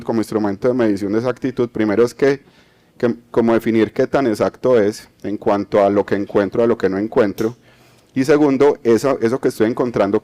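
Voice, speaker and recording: A man's voice: fairly low pitch, darkish tone. A man from Colombia with a Medellín accent, giving an explanatory conference talk, checking in with the audience as he goes. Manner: explanatory, many fillers and restarts, tag questions. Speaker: conference speaker.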